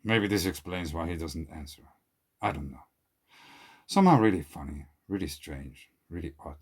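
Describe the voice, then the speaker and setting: A man whose first language is German, speaking English in an earnest, questioning monologue to camera, often trailing off quietly. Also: low voice, expressive, moderate pace, breathy edge. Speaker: video creator. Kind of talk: casual monologue to camera, reading out an email.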